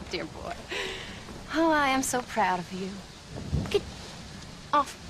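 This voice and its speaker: A high-voiced, sardonic American frontier wife. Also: female voice, expressive, slow pace, noisy background. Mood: mock-grand teasing. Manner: teasing, mock-grand, earthy. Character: sharp-tongued, sardonic frontier wife and mother. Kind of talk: video game cutscene acting.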